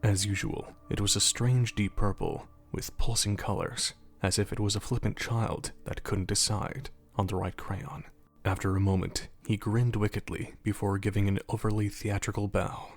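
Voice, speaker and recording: A man narrating an audio drama in the third person in a calm, steady way. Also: on the low side, normal speed, few pauses.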